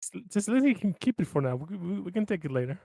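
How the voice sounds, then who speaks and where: A man's voice: sits high, sing-song, fast talker, gravelly, dark tone, no echo, clean studio sound. A man chatting casually as a tabletop game player, tentative and often repeating his words.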